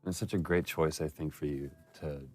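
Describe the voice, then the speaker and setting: A man's voice: very deep voice, fairly even intonation, a little fast, darkish tone, soft background music. A man chatting casually as he comments on scenes from a game. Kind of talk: casual film commentary chat.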